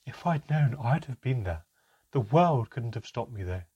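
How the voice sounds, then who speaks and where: A sobbing mother with a strained, quavering, husky voice pitched well above the narrator's, as a man puts her on. Her voice stays low and broken through the storybook reading. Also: lively intonation, normal speed, mellow, highs cut off. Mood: tearful. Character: tearful, apologetic mother.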